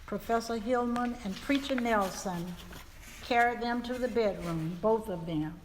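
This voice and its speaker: Grieving 1870s widow female performer, measured and solemn, higher-pitched, stage reading in a resonant hall. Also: a little hiss or hum, natural voice. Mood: sorrowful, subdued. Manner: slow, deliberate, solemn storytelling. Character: grieving widow recounting her husband's murder, 1875 Mississippi. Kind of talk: dramatic reading of historical testimony. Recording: reverberant hall.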